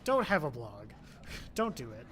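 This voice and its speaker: A man's voice: mid-range voice, wildly swooping pitch, measured pace. A young man giving casual, joking commentary while he plays a game, laughing often. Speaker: speedrunner at a charity marathon. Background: game music.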